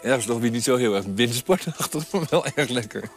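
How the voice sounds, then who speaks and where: A man in his thirties hosting a travel show outdoors, talking to camera in a relaxed, enthusiastic and chatty way. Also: mid-range voice, fast talker. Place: outdoors on a mountain road and in a tent. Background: background music.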